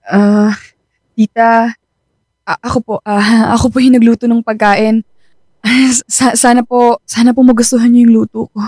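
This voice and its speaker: A woman's voice: fairly high pitch, little pitch movement, measured pace, pauses often, darkish tone, no echo. An actress playing an anxious, self-doubting young Filipina girlfriend in a radio drama, hesitant and devoted. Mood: nervous, polite. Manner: hesitant, worried.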